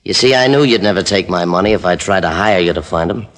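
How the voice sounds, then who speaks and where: An actor playing a cold, gloating gangster who taunts and menaces, in 1950s radio drama style. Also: male voice, low voice, quick pace, fairly bright tone. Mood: smug. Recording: old radio recording with music.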